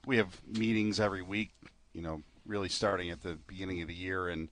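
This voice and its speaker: Man with a very deep voice. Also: fairly even intonation, fairly quick, a bit bright. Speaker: tournament director. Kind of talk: interview answers.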